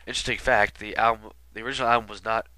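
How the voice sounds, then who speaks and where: A man's voice: fairly low pitch, steady tone, very fast, pushed and bright, no room sound. A man with a stuffy nose from being sick, commenting casually and rambling with lots of fillers. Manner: rambling, many fillers and asides. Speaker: video commentator. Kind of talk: casual album retrospective commentary.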